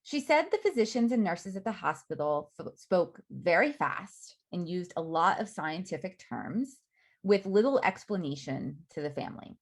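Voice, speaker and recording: A middle-aged woman gives an academic faculty talk in a measured way, reading prepared remarks with frequent ums. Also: on the low side, lively intonation. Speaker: academic speaker.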